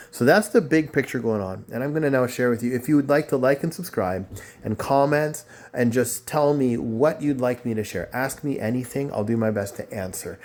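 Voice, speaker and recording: A man's voice: mid-range voice, a little mellow, slight background noise. A man from Jamaica, talking in a rambling, digressive way as he chats to the camera in a casual vlog. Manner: rambling, digressive, self-promoting. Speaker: vlogger, music school owner.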